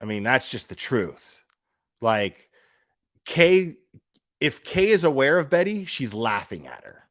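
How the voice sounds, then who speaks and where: A man with an average-pitched voice delivers an unscripted, opinionated rant. He is blunt and swears casually, restating phrases to drive them in. The sound is dry, with little room on it. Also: very animated, slow pace, pauses often, a bit bright, no room sound. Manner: emphatic, repeats phrases for stress. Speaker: livestream commentator.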